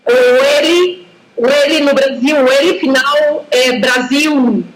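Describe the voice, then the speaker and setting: A woman's voice: high voice, unhurried, heavy background noise. A Brazilian woman with a Brazilian Portuguese accent, a language teacher explaining patiently in a casual tutoring chat over a video call. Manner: patient, explanatory, often affirms with "exato". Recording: roomy call audio.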